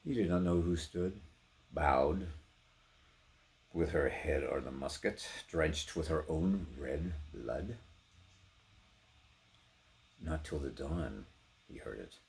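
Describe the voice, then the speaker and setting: A man giving a theatrical, intense dramatic recitation of poetry, swinging between hushed delivery and full-throated cries. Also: a bass voice, animated, slow pace, vocal fry, pauses often. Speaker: poetry performer.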